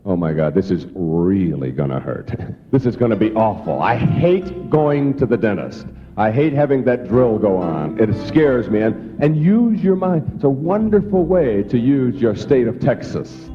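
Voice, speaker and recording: A man giving a humorous self-help talk in an animated, joking storytelling way, acting out quotes as he goes. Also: mid-range voice, unhurried, over music. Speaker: motivational speaker. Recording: dull lo-fi old tape.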